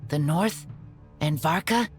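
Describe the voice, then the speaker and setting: A woman voicing an earnest, loyal boy raised by wolves in a video game, with halting, simple speech. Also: low-pitched, faint music behind. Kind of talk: video game character acting.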